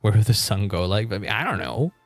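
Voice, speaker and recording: A man's voice: on the low side, animated, fairly quick, vocal fry, soft background music. A man livestreaming a game, chatting casually with viewers in a rambling, informal way with some mild swearing.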